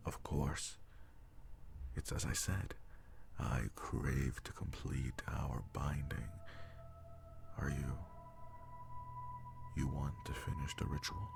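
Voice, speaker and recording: Guy doing a super deep crackly demon lover, slow n formal, breathy half whisper, audio roleplay w music behind. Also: heavily acted. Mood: hopeful, yearning. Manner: slow, formal, archaic phrasing. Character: powerful male demon, devoted, jealous lover bound to a sorcerer. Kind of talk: intimate roleplay audio drama, addressed to the listener.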